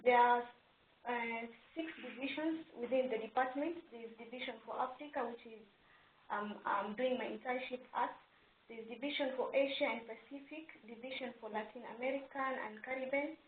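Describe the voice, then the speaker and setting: A woman's voice: fairly high pitch, normal speed. A young woman from Kenya, an intern, methodically explaining her department in a list-like way. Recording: tinny phone-like sound with faint hiss.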